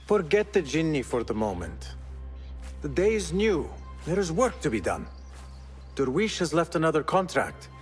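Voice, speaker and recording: Young cocky thief in a game, idealistic, bit high voiced and animated. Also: male voice, moderate pace, faint music behind. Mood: earnest, coaxing. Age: young adult. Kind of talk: cinematic video game acting.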